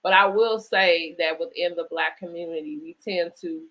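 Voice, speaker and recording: A woman hosting a conversational interview, speaking reflectively in halting phrases and often checking in with "right?" or "you know". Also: on the low side, fairly even intonation, dull audio. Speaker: host interviewing an author. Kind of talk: conversational interview at a virtual book event.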